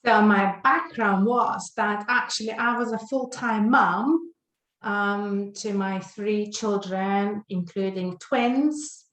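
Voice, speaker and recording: A woman's voice: medium pitch, takes it slowly, a bit bright, echoey room, low-quality recording. A woman in her forties from Lithuania, a guest on a podcast interview, talking with many fillers and restarts. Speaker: guest, health and well-being coach.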